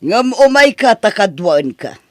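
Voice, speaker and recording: High-pitched, protective older Ilocano mother, acted by a man. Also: sing-song, unhurried, dry recording. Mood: stern, reproachful. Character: protective older mother, gentle with daughter, stern with son-in-law. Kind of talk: Ilocano radio-style family drama.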